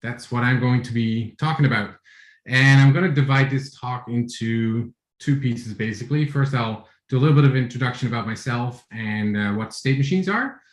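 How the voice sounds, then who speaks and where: A man in his forties from the Netherlands with a Dutch accent, giving a relaxed tech talk over a video call, with many fillers and restarts. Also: mid-range voice, moderate pace, reverberant. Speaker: conference speaker. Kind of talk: online tech talk with screen share.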